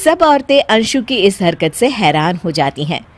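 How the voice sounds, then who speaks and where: Woman narrator with very lively intonation. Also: medium pitch, normal speed, soft background music. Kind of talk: scripted story narration.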